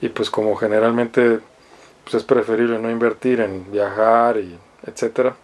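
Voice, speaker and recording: A man's voice: fairly low pitch, level tone, moderate pace, a little mellow. A man from northern Mexico with a Mexican accent, lecturing as a law professor in a didactic, hesitant way, often seeking agreement with small questioning tags. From Mexicali, Baja California, Mexico. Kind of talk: explanatory law lecture, reading articles aloud and commenting.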